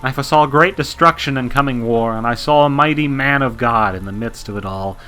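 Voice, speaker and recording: A haunted visionary reverend colonel played by a man speaks in a raised, forceful voice. He is grave and deferential, halting yet fervent as a prophet, in radio-drama style over faint music. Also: medium pitch, clear, resonant tone, voice pushed up, lightly acted.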